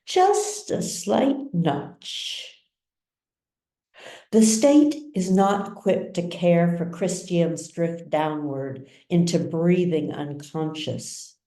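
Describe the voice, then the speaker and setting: Female story narrator: very unhurried, measured and dramatic, slightly low, recorded in a roomy space. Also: dark tone. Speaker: author reading own novel. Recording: roomy echo, distant mic.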